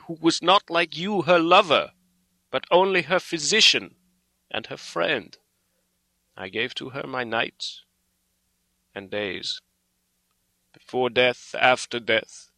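A man voicing a grave, earnest old foreign doctor in a dramatic audiobook, speaking in solemn, halting, emphatic phrases. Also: medium pitch, bright, forceful tone, dry recording, voice pushed up, rough recording. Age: older. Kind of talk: dramatic audiobook character reading.

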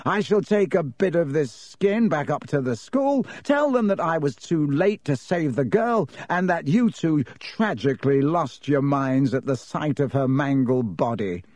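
A man voicing a vain, treacherous wizard teacher, speaking in a pompous, drawn-out way. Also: on the high side, animated, a little mellow, no echo. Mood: smug.

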